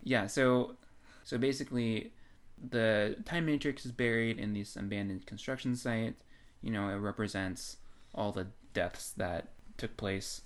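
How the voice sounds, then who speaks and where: A podcast co-host with a medium-pitched voice. The talk is conversational and informal, laying out explanations and readings of books as in a chat with a friend. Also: measured pace. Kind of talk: casual chat discussing books.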